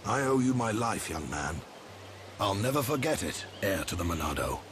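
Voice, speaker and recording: Formal male commander, animated pitch. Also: moderate pace, background noise. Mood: solemn, grateful. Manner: formal, solemn. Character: dutiful male military commander, formal and humbled. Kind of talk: scripted video game cutscene dialogue.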